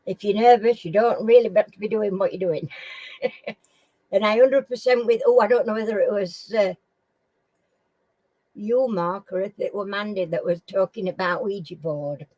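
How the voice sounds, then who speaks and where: A middle-aged woman from Sheffield with a Sheffield accent, hosting a casual live chat with viewers in a slow, homely way and often clearing her throat. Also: medium pitch, warm tone, no echo, highs cut off. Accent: Sheffield English. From Sheffield, England. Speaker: live stream host.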